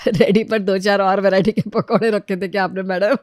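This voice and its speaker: A man's voice: sits high, no echo, studio quality. A man voicing a playful young husband in a storybook, coaxing and teasing as he begs for food. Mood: teasing, amused. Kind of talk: acted Hindi audio storybook dialogue.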